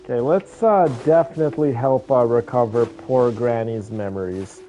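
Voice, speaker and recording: A man's voice: mid-range voice, moderate pace, mellow, rough recording. A man giving casual, rambling commentary on a video game playthrough, often hesitating as he reacts to it. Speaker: gaming streamer. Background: game music.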